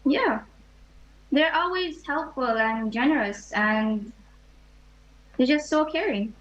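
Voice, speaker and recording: A teenage girl from Jamaica, interviewed over a video call, answering softly and hesitantly. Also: high-pitched, animated, slow pace, slight background noise. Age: late teens. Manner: soft, hesitant, many ums. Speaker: student guest. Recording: dull lo-fi video call audio.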